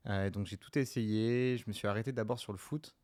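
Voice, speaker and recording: A man in his twenties from the Lyon area, a sprinter telling his story in a relaxed, rambling way with lots of fillers. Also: fairly low pitch, a little fast. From France, Lyon area. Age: 20s. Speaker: sprinter guest. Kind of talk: casual interview chat.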